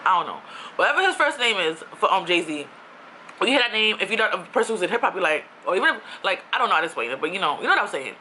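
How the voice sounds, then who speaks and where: A woman's voice: medium pitch, rapid-fire, a little hiss or hum, rough recording. Chatty young woman from New York. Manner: chatty, animated, with slang and asides. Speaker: YouTuber. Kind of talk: casual ranting vlog.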